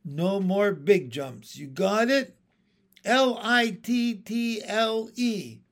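A man's voice: on the high side, very animated, takes it slowly, gravelly, heavily acted. A man voicing a bossy, streetwise wild frog in a storybook, impatient, exasperated and emphatic. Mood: bossy instructing.

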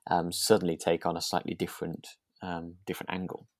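A man's voice: sits low, completely flat delivery, a little fast, no echo. A man co-hosting a podcast, casually discussing a drama and qualifying his points as he goes. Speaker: podcast co-host. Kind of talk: relaxed conversational discussion of a radio drama.

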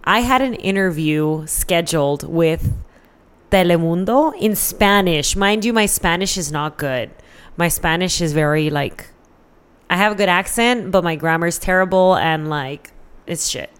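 A woman's voice: lowish voice, unhurried, clear, resonant tone. An American woman chatting casually as an interview guest, candid and informal, swearing freely as she tells personal stories.

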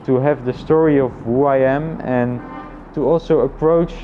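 A man thinking aloud in a casual, reflective personal vlog, with halting phrasing and frequent repetition. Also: medium pitch, fairly even intonation, phone-quality sound, faint music behind. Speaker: vlogger.

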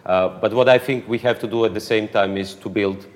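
A man's voice: fairly low pitch, steady tone, fairly quick, long reverb tail. A man with a Croatian accent, speaking English as a second language in an interview, answering at length with many fillers and restarts. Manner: hesitant, frequent "uh" fillers and restarts. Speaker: interview guest, political activist.

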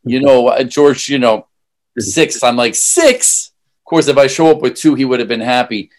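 A man's voice: mid-range voice, lively intonation, normal speed. A man hosting a podcast who chats casually and backs up his guest with frequent agreement. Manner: supportive, lots of agreeing interjections. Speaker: podcast host and musician. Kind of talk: casual conversation.

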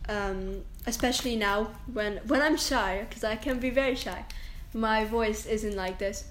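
A young woman talks into her camera in a casual solo rant, her voice strong, confident and a little high. She rambles and restarts, with a "like" every few words. The room around her rings with echo. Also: unhurried, a little hiss or hum. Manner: rambling, chatty, self-interrupting with likes and restarts. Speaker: podcast host. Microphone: camera and microphone, recording herself.

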